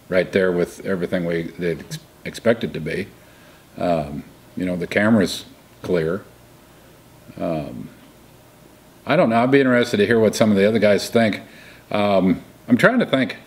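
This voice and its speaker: A man's voice: low voice, normal speed, long pauses. A man in his fifties, a farmer and crop insurance agent from Missouri, thinking aloud in a casual indoor interview. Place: indoor room with curtains.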